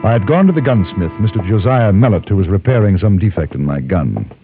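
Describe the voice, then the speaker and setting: Radio western lead: composed, courteous British newspaperman, low voice with lively inflection, muffled vintage broadcast. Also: music in the background. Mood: reflective. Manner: polite, measured, understated. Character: courteous, composed English newspaperman who carries a gun. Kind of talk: old-time radio drama acting and first-person narration.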